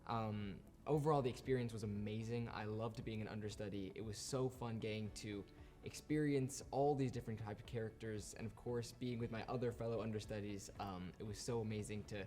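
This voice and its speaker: A man's voice: fairly low pitch, steady tone, a little fast, mellow. A young man, an understudy actor, talking casually in an interview. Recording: music under the voice.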